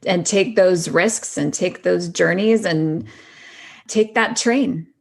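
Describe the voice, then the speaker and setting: A woman's voice: fairly low pitch, slow pace, gravelly, a little mellow, breathy edge. Middle-aged woman chatting casually as guest. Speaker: podcast guest, actress. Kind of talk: casual chat.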